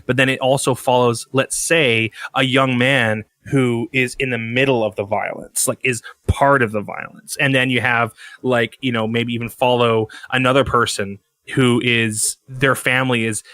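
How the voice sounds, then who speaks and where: A man's voice: mid-range voice, steady tone, normal speed, few pauses, pushed and bright, no echo. A man chatting casually as he brainstorms on a podcast, rambling and swearing freely. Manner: rambling, profane. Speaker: podcast guest.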